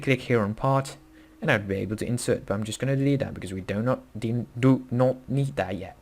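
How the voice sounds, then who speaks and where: A young man with a Dutch accent talks through a beginner coding tutorial in English, his second language. His delivery is casual and halting, full of fillers and quick self-corrections. His voice sits at an ordinary middle pitch. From Netherlands. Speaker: tutorial host. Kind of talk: screen-recorded coding tutorial.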